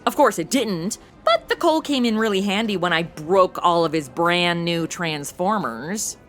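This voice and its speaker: A woman's voice: on the low side, lively intonation, a little slow, a bit bright, faint music behind. A woman playing a sarcastic, blunt modern sidekick in a comedy audio drama, talking casually. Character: snarky modern young woman tagging along as sidekick. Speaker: show's lead and host.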